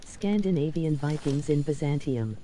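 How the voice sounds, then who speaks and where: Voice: medium pitch, expressive, normal speed, mellow, slight background noise, low-quality recording. A co-host chatting casually about history, chipping in asides during a game stream.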